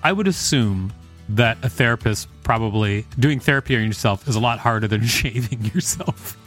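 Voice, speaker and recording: A man's voice: mid-range voice, expressive, moderate pace, a bit bright, faint music behind. A man co-hosting a podcast, reading aloud and chatting in a wry, conversational way. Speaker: podcast co-host. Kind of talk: reading an encyclopedia article aloud with casual banter.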